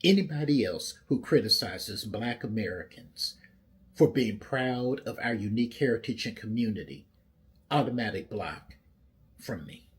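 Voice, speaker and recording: A man's voice: lowish voice, lively intonation, moderate pace, husky. A man giving an indignant, emphatic rant straight to camera as a social media commentator.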